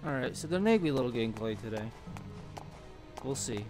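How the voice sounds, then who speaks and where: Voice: medium pitch, expressive, fairly quick. A let's player giving casual gameplay commentary and sometimes reading on-screen text aloud. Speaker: video game let's player. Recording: loud game music and announcements behind. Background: loud game music.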